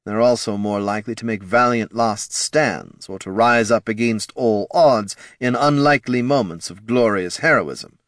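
A weary lich overlord, played by a man speaks in a hollow, measured voice. He is dry and unimpressed, an undead wizard from a fantasy audiobook. The sound is close, with no room around it. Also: fairly low pitch, a bit bright, dry recording, voice pushed up, lightly acted.

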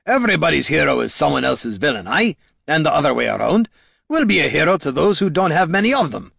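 A man voicing a gruff, loyal dwarf hero with a blunt, emphatic and hearty way of talking. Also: a little high, very animated, fast, a bit bright, no echo, studio quality, pitched above the performer's own voice, heavily acted. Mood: defiant, indignant.

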